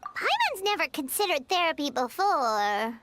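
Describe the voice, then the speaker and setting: A woman's voice: high voice, wildly swooping pitch, unhurried, a bit bright, exaggerated voice. A woman voicing a tiny, childlike, eager game companion who loves food and talks about herself in the third person. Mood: curious. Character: tiny childlike floating companion, food-loving, chirpy girl. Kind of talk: video game voice acting. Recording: game music behind.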